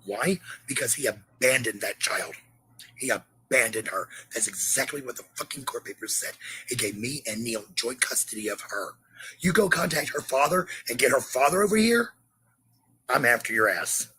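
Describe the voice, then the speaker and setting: A woman's voice: extremely low pitch, lively intonation, moderate pace, sharp tone, breathy edge. A middle-aged woman, combative and profane as she rants on a livestream. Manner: confrontational, profane. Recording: noisy livestream audio.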